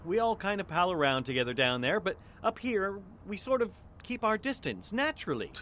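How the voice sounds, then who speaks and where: A man's voice: sits high, very animated, fairly quick, a bit bright, slight background noise. An actor playing a timid, apologetic American neighbor man in an audio drama, hesitant and stammering. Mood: apologetic, explaining.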